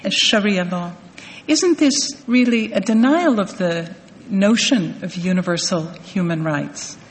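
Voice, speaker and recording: A woman's voice: medium pitch, a little slow, a little hiss or hum. Woman interviewer in a reverberant hall. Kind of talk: formal public interview questions. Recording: echoey hall with long reverb.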